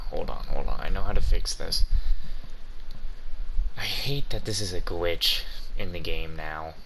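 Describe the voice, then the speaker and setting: Guy doing a chill game playthrough, slow talker, kinda deep, noisy background. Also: fairly even intonation, pushed and bright. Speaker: gaming video host. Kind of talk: casual playthrough commentary. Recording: clear background noise.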